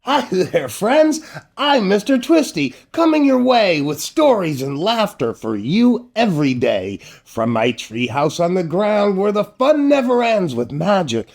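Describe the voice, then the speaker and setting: Older man, children's storytime host, higher-pitched, theatrical, playful, unhurried, background music. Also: big pitch swings, vocal fry, darkish tone. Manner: playful, exaggerated, theatrical, addressing children directly. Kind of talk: animated children's read-aloud and jokey intro.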